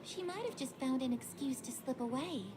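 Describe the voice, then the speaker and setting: A woman's voice: high-pitched, expressive, moderate pace, very noisy. A woman voicing a frank, easygoing young female soldier in a video game. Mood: wry.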